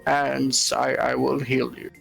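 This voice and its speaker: A man's voice: medium pitch, little pitch movement, takes it slowly, gravelly, fairly bright tone. A man playing a tabletop game, talking in casual banter with music behind him.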